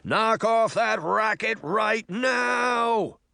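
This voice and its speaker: A mysterious, furious presence from a children's storybook. It booms out slowly in an angry shout, pushed well up in pitch. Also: male voice, gravelly, dry recording, clean studio sound, full character voice. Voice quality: booming. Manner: slow, shouting. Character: mysterious angry booming voice behind a door. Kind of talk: children's audiobook character voice.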